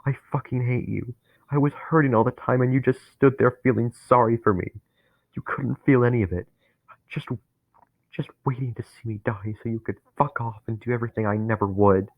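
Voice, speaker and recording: A man voicing a dying young man who is terrified and bitter, talking in a strained, pleading way that rises to anguished shouts. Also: fairly low pitch, steady tone, slow pace, gravelly, pauses often, no room sound, full character voice. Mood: bitter rage. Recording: tinny phone-like, dry sound.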